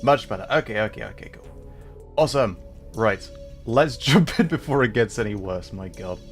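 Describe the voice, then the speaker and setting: A man's voice: on the low side, expressive, moderate pace. Casual male streamer over background music. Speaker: livestream host, gamer. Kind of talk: casual livestream chat.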